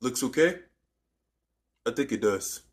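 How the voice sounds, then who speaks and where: A man giving a casual hands-on music gear demo to viewers, halting as he goes, often repeating and correcting himself. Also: medium pitch, expressive, background noise. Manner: halting, repetitive, self-correcting. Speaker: video host demonstrating music gear.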